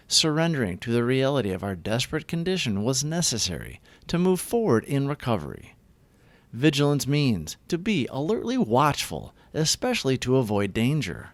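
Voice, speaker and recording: A man reading daily recovery reflections aloud in an earnest, deliberate, scripted devotional style. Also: mid-range voice, no room sound.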